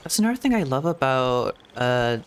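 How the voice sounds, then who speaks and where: A man streaming a video game, thinking aloud in a rambling, reflective way with hedges and soft laughs. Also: mid-range voice, very animated, normal speed, faint music behind. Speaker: VTuber streamer. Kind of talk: casual gameplay commentary.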